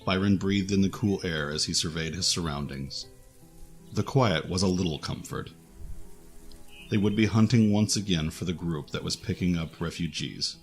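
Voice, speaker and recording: A man's voice: deep voice, normal speed, soft background music. A man reading scripted narration for a fantasy adventure story.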